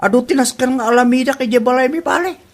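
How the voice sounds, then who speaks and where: A man's voice: extremely high pitch, fast talker. A man with an Ilocano accent playing a lazy, grumbling village buddy in a comedy drama. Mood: lazy, complaining. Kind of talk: comedy radio-style drama acting.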